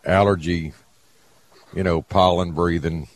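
A man's voice: low voice, steady tone, unhurried. A man, hosting a radio show in an easygoing, folksy way, a little stuffy with a sore throat. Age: 70s. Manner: easygoing, folksy, laughs often. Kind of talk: casual radio chat.

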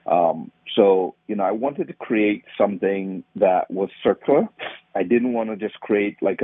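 A man's voice: low-pitched, husky, dry recording. A man from Trinidad with a Trinidadian accent, explaining his nonprofit work as an interview guest. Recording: tinny phone-like line, dry.

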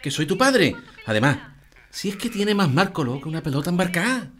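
A man's voice: high voice, sing-song, brisk, a bit bright. An actor with an Andalusian accent playing a fussy, prying middle-aged father in a radio drama, insistent and coaxing. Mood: teasing.

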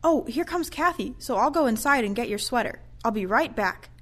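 A woman's voice: a little high, expressive, moderate pace. A woman playing a cheerful, attentive care aide in an audio play, chatty and encouraging.

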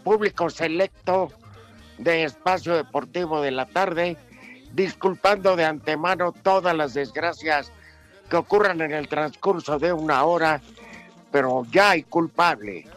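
A man's voice: on the high side, a little slow, fairly bright tone, soft background music. A man hosting a sports talk show, teasing his co-hosts in a mock-gloomy way.

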